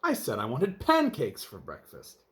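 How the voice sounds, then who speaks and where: A man's voice: mid-range voice, huge pitch swings, unhurried, fairly bright tone. A man voicing a grumbly, teasing husband in a children's storybook, with emphatic delivery. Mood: grumbling.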